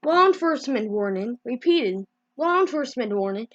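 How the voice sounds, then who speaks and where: Halting high-voiced woman improvising news bulletins. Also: lively intonation, lo-fi sound, a little hiss or hum. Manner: halting, improvised delivery with repetitions and mid-word corrections. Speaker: amateur video creator playing emergency news announcer.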